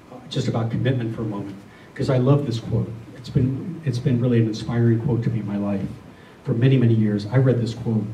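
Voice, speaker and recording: A man gives a reflective spiritual talk in a soft, mellow voice of medium pitch. He is earnest and deliberate, circling back over his phrases. The sound is echoey and picked up from some distance. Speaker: spiritual speaker. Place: room with a listening group. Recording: roomy, distant mic, faint noise.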